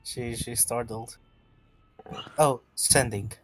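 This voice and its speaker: A man speaking as game master of a tabletop roleplaying game, with halting, deliberate phrasing. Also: medium pitch, steady tone, fairly bright tone, faint music behind, poor audio. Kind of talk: casual game narration and chat.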